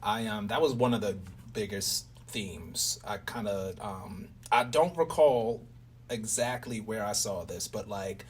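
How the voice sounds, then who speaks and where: A man's voice: on the low side, a little slow, far from the mic, slight background noise. An American man from Baltimore interviewing in a casual, reflective, rambling way with plenty of slang. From Baltimore, United States. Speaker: interviewer, radio play writer.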